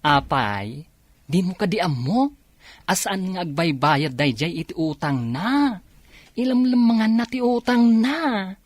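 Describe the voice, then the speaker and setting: A woman's voice: on the low side, animated, a little slow, no echo. A woman playing a blunt, sassy village beauty parlor owner in a comedy radio drama, with an Ilocano accent. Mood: gossipy warning.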